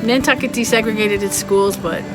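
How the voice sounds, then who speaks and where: A woman's voice: medium pitch, normal speed, over loud music, poor audio. A woman vlogger narrating history in a casual, conversational way with wry, playful asides to her viewers.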